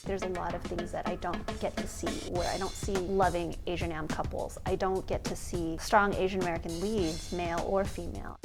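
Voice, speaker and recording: This woman's voice sits slightly low, and she explains things in an earnest, plain way. She is speaking in a documentary interview. Music plays clearly behind her. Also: flat delivery, moderate pace, hardly any pauses.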